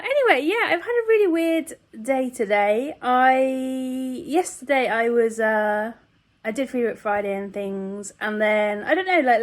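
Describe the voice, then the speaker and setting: A woman with a fairly high voice talks casually to her viewers as a vlogger. Her delivery is chatty and meandering, in the style of an informal video diary, with a giggly manner.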